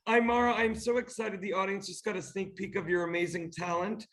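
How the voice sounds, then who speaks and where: Man, high voice, effusive, interview host. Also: steady tone, a little fast, fairly bright tone. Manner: effusive, praising, eager. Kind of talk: enthusiastic interview hosting.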